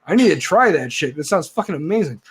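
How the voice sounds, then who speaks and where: A man's voice: a little high, very animated, quick pace, husky. A man in his late thirties ranting profanely in a casual live-stream chat as host. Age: 30s. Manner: profane, heated rant. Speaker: podcast host.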